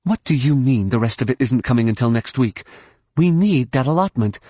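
A man's voice: medium pitch, normal speed, warm tone, no room sound, clean studio sound, natural voice. A man voicing a weary, short-tempered woman leader. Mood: exasperated.

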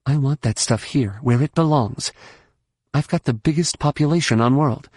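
A man's voice: mid-range voice, moderate pace, mellow, no echo, studio quality, lightly acted. A man voicing a tired woman leader, clipped and exasperated with delays. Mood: impatient. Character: weary woman leader, short-tempered but fair.

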